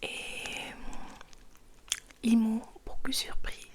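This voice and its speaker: A woman speaking in a breathy whisper in ASMR style, halting gently between short phrases. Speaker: host of an ASMR channel. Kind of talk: ASMR, soft whispered rambling.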